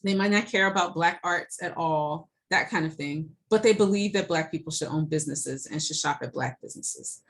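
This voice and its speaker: A woman's voice: deep voice, moderate pace, hardly any pauses. A middle-aged woman, a college professor, giving a recorded lecture over slides in a conversational way, with many ums and restarts.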